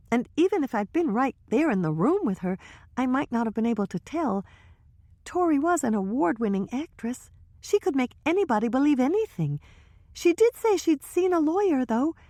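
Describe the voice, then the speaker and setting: A woman's voice: sits high, very animated, normal speed, darkish tone, no echo, studio quality, voice pushed up. A woman voicing a nervous, gossipy young woman who talks in a hesitant, breathless way.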